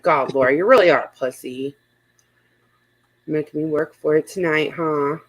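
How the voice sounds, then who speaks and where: A woman's voice: sits low, measured pace, low-quality recording. A woman host in casual livestream commentary, speaking bluntly and swearing often.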